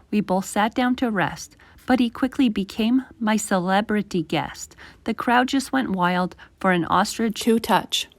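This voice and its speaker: A woman with a fairly high voice reads a children's storybook. Her delivery is animated and emphatic, following the rhyme closely. The sound is dry, with very little room in it. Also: dry recording. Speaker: children's story reader and channel host. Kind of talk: expressive children's storybook read-aloud.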